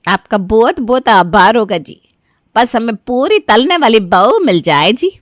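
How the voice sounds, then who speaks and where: A woman voicing an excitable older mother-in-law in a cartoon story, full of quirky exclamations. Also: fairly high pitch, measured pace, no room sound, clean studio sound. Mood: grateful. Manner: exclaiming, with quirky interjections and broken grammar.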